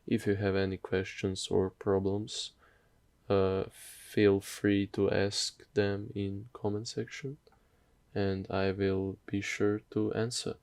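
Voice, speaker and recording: A man narrating a casual software tutorial in a hesitant, halting, off-the-cuff way. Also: fairly low pitch, flat delivery, no echo.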